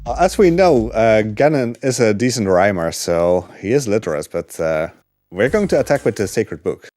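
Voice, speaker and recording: A man casually commentating his own speedrun live, relaxed and rambling, with many ums and uhs. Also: on the low side, moderate pace, dry recording, soft background music.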